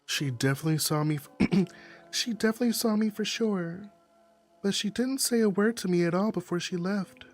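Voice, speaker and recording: A streamer reading a visual novel aloud, with expressive narration and sassy, slangy asides. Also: mid-range voice, moderate pace, faint music behind.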